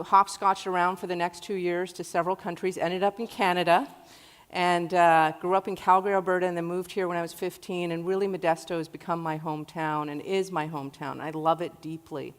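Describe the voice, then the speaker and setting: A woman in her forties speaks earnestly to an audience, her voice sitting a little low for a woman. Her talk carries a long reverb from the large hall around her. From Iraq. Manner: earnest, warm-hearted storytelling. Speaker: guest speaker.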